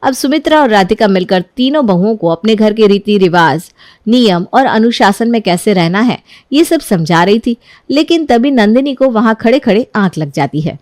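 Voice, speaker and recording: Clear woman narrating a cartoon story. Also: medium pitch, animated, normal speed, darkish tone, no room sound, studio quality.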